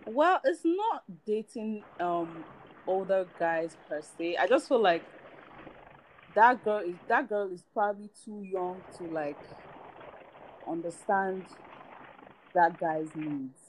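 A woman's voice: medium pitch, animated, a little hiss or hum, poor audio. A twenty-one-year-old woman, a guest reasoning her view aloud in a casual discussion. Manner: measured, reasoning aloud, lots of "like". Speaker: podcast guest.

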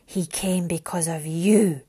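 A woman voicing an enraged, grieving father in a storybook, growling and booming his accusations. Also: fairly even intonation, takes it slowly, slightly rough, full character voice. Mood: furious, accusing. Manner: forceful, accusing.

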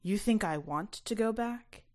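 A woman's voice: medium pitch, expressive, measured pace, pitched above the performer's own voice, natural voice. Woman narrator doing a bitter worn-out guy in a story, guarded, cynical. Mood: angry, strained. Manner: evasive, dark, cynical. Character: weary, guarded man, bitter former revolutionary storyteller.

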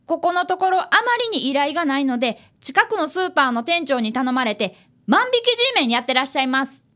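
A woman's voice: high-pitched, quick pace, bright, forceful tone. A woman playing an earnest, polite fifth-grade boy, an apprentice detective in a Japanese comic radio drama. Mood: eager, polite. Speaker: actor and narrator in a community radio drama.

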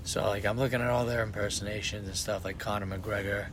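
A man's voice: fairly low pitch, fairly even intonation, fast talker. A man co-hosting a casual podcast, rambling and swearing, restarting his sentences often. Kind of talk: casual chat. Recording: background noise.

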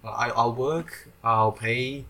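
A young person hosting a podcast in casual chat. They speak hesitantly and often trail off, on a rough home recording. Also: mid-range voice, takes it slowly, crowd noise. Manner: hesitant, trails off, lots of likes. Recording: lo-fi home recording with faint background noise.

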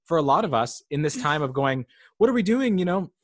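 A man's voice: a little high, animated, fast talker, no room sound, studio quality. A man in his early thirties in casual chat, talking reflectively with many fillers. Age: 30s.

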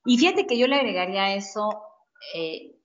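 A woman's voice: medium pitch, big pitch swings, a little fast. A woman explaining healthy habits in a casual chat, restarting phrases and adding fillers as she goes. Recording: dull lo-fi audio.

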